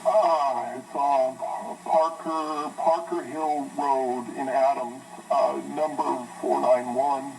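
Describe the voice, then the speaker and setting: A man's voice: medium pitch, steady tone, slow pace, creaky, few pauses, darkish tone. A man playing a dazed, hesitant caller reporting an emergency over a phone line in a horror drama. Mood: dazed, uneasy. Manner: hesitant, distracted. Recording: distant phone call with faint noise.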